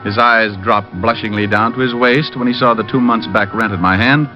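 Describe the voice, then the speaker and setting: An actor playing an unflappable private detective in an old radio show, wry and deadpan. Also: male voice, fairly low pitch, brisk, close to an everyday voice. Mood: wry narration. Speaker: lead and narrator. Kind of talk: 1940s radio detective narration and acting. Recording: muffled old radio recording. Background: music.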